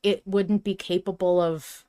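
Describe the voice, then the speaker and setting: Female podcast host, lowish voice, loose conversational delivery. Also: little pitch movement, unhurried, dark tone. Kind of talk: casual podcast discussion.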